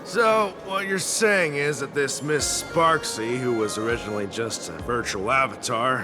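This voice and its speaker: A pedantic, apologetic man voiced by an actor in a video game, chattily explaining. His mid-pitched voice has wide intonation, with music playing behind. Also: natural voice. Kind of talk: video game voice acting, exposition.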